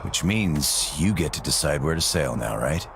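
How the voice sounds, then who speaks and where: Self-reliant drifter for a game: rock-bottom pitch, gravelly, few words, guarded and plain. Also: male voice, expressive, moderate pace, background noise, heavily acted. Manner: laconic, plain-spoken. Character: terse, wary, self-reliant drifter negotiator. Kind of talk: video game cutscene acting.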